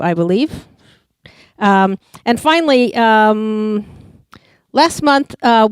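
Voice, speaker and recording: A woman's voice: medium pitch, clean tone, no echo. Hesitant woman host reading announcements. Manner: hesitant, with many uhs. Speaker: event host. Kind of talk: announcements and speaker introduction.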